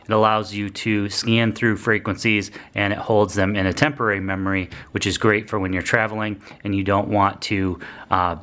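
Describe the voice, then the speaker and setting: A man's voice: fairly low pitch, fairly bright tone. A man explaining and demonstrating a product in a plainspoken, informal review style.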